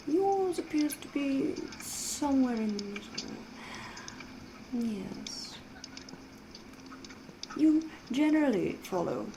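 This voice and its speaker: Woman acting breathy, flirtatious vampire lady, noisy ambience. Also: mid-range voice. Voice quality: breathy, often whispering. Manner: genteel, old-fashioned, formal phrasing. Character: flirtatious, mysterious vampire woman posing as a genteel villager. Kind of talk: whispered ASMR roleplay.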